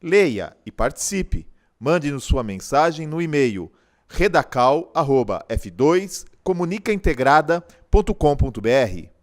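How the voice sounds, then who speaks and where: A Brazilian man reads a scripted commercial as an announcer. His voice is a bit higher than average for a man, and his intonation is animated, moving widely up and down. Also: a little slow. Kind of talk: scripted advertisement voice-over.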